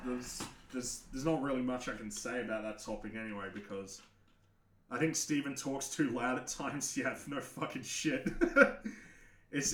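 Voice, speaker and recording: A young man with a naturally deep voice hosts a casual livestream, giving frank, opinionated takes with frequent restarts, occasional swearing and laughter. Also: a bit bright, roomy sound. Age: young adult. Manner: laughs often. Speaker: livestream host answering chat.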